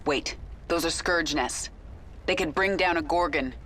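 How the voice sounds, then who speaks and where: A woman's voice: deep voice, a little slow, fairly bright tone, a little hiss or hum. An actress playing the commanding queen of an alien swarm, giving cold, authoritative orders in a game cutscene.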